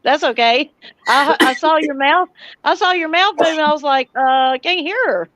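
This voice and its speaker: A woman's voice: high-pitched, moderate pace, fairly bright tone, no room sound, low-quality recording. A middle-aged woman hosting a casual livestream, chatting and answering viewer comments. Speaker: livestream host and homesteading vlogger.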